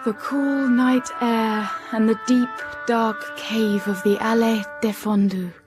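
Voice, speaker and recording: An actress playing a guarded, lonely, quietly yearning young schoolteacher in a radio drama, speaking in a hushed, inward way. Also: female voice, a little high, steady tone, slow pace, over music. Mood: brooding. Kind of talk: radio drama acting and first-person narration.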